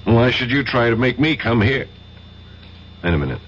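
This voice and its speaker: An actor playing a tough, sardonic American detective in a radio drama, speaking in clipped wisecracks. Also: male voice, mid-range voice, sing-song, moderate pace, a bit bright. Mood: groggy, demanding. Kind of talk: 1970s radio drama acting. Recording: dull vintage radio recording, faint hiss.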